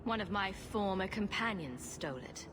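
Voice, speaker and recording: Brief: wry female rogue lead, voiced by a woman, direct, mid pitch, game audio with loud ambience. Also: animated, normal speed, noisy background, natural voice. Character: bold female rogue hero, dry humor.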